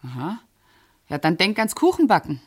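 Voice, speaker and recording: Radio play: wry, sensible middle-aged female friend, lowish pitch, highly varied melody, dry teasing delivery. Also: wildly swooping pitch, moderate pace, husky, full character voice. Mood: brisk, reassuring. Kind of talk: naturalistic radio drama acting.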